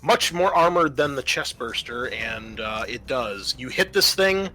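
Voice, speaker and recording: A man's voice: mid-range voice, expressive, unhurried, bright, forceful tone, rough recording. A man running a tabletop role-playing game, narrating the action theatrically and casually. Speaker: game master. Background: music.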